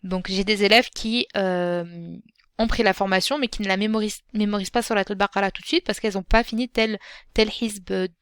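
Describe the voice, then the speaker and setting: A woman hosting her own podcast as a Quran teacher. She speaks gently and encouragingly, addressing the listener directly, with frequent fillers and restarts. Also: medium pitch, clear, resonant tone, dry recording, studio quality. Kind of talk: solo podcast talk.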